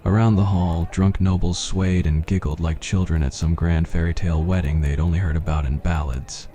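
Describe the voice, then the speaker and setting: Male narrator, low pitch, dark mellow tone, scripted ASMR storytelling. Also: fairly even intonation, normal speed.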